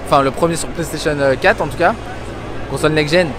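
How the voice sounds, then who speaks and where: A man's voice: on the high side, fast, noisy background. A man, talking to camera in an informal, enthusiastic vlog style. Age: 20s. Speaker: video game vlogger, host.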